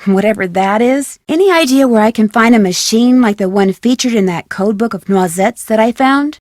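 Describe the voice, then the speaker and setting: An actress playing a curious, polite, chipper young female detective in a video game, asking things courteously and clearly. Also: fairly high pitch, a little mellow, dry recording, clean studio sound, lightly acted. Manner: inquisitive, courteous, clearly enunciated questioning. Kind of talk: scripted video game dialogue.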